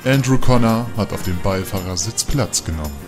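A male narrator reads a scripted audio drama. His voice is fairly low, and music plays clearly behind him. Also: animated, normal speed.

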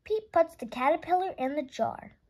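A woman with a high, lively voice reads a picture book aloud. Her delivery is expressive and swings up and down, with some words drawn out for little kids. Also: normal speed. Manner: expressive, stretches words for young listeners. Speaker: children's book reader.

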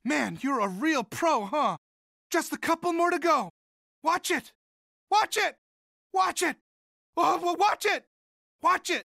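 A man's voice: very high voice, expressive, takes it slowly, creaky, long pauses, bright, forceful tone, no echo, clean studio sound, exaggerated voice. A man voicing a timid, sweet, eager young student monster in a video game, boyish, polite, earnest and hesitant. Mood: encouraging, excited. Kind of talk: video game character voice lines.

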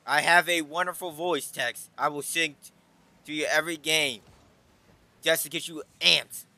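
A man's voice: on the high side, steady tone, moderate pace, creaky, bright, forceful tone, no room sound. A young man with a headset mic in his bedroom, giving hyped, playful commentary on his game and joking about his own singing. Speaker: gaming YouTuber. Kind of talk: casual gameplay commentary and outro. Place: bedroom at home, indoors.

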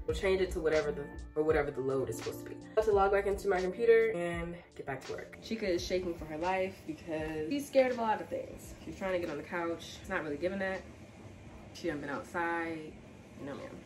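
A young American woman with a fairly low voice talks in a casual, slangy way while busy with chores. She is some way from the mic in an echoey room, and music plays clearly behind her. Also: darkish tone. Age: 20s. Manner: informal, slangy, talking while doing chores. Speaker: home and cleaning vlogger. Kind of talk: casual chat to camera. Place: inside house rooms, bedroom and basement. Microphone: camera or phone set at a distance. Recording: roomy home echo, distant mic, background music.

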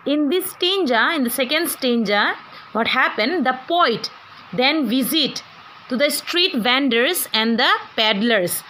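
A woman's voice: high-pitched, lively intonation, unhurried, bright, forceful tone, a little hiss or hum, rough recording. A woman teacher with an Indian English accent, giving an online class and explaining a poem to students, asking them questions as she goes.

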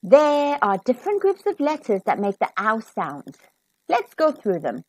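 High, sing-song woman reading to children. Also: unhurried, no echo. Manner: expressive, sing-song, clearly enunciated. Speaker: children's storybook reader. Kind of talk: rhyming storybook narration for children.

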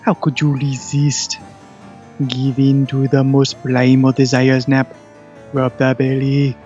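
A man's voice: mid-range voice, monotone, takes it slowly, mellow, muffled recording, soft background music, natural voice. A man voicing a smug, fluffy talking cat in an audio drama, theatrical and coaxing. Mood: coaxing, teasing. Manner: theatrical, coaxing, self-adoring.

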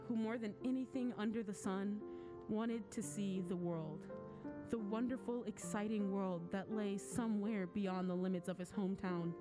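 A woman's voice: mid-range voice, lightly acted. A woman reading scripted announcer narration for an old-fashioned radio play. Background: loud music.

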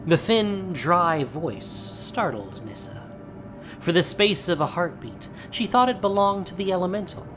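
A man giving steady, solemn scripted narration of a fantasy story. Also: sits high, measured pace, music in the background.

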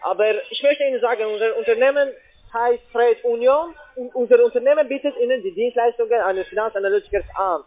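A man with a foreign accent, not a native German speaker, making a halting, repetitive, insistent telephone sales pitch. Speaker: call-centre sales caller. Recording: muffled, narrow phone line.

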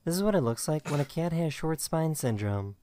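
A narrator reads short, scripted captions over internet clips in an even, unhurried voice of middling pitch. The delivery stays plain and steady. Faint music runs underneath. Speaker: clip show narrator.

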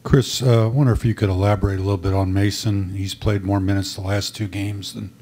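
A man's voice: lowish voice, little pitch movement, a little fast. A man, a reporter, putting a polite, plain question at a press conference from off-mic. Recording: off-mic with clear background hiss.

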